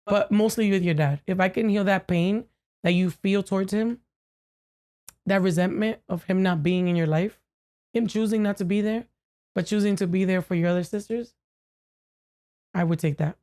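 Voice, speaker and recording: A woman co-hosting a podcast with a deep voice, talking earnestly to a friend and often restarting her sentences. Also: warm tone. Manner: earnest, halting with many restarts. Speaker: podcast co-host. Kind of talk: casual heartfelt chat. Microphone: podcast microphone, no camera.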